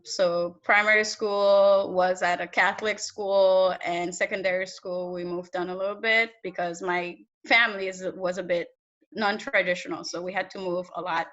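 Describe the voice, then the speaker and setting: A young woman from Haiti, a research data analyst, chatting casually in an interview over a video call and telling stories with lots of fillers. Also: fairly low pitch. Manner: animated storyteller, many fillers. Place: small indoor room on a video call. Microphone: laptop webcam mic on video call. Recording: dull lo-fi video call audio.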